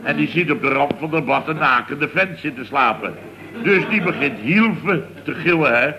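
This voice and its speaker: An actor playing a flustered, indignant middle-aged building boss, telling things in a long-winded, exasperated way in a comic radio serial. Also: male voice, a little high, animated, moderate pace, pushed and bright, poor audio. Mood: exasperated storytelling. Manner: long-winded, exasperated storytelling peppered with verbal tags. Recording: old radio recording with faint noise.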